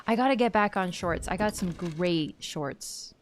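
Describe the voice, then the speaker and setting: A woman's voice: lowish voice, a little slow, slight background noise. Chatty woman streamer. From Canada. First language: Polish. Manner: chatty, animated storytelling, talks to chat. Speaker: gaming streamer. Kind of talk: casual chat while playing.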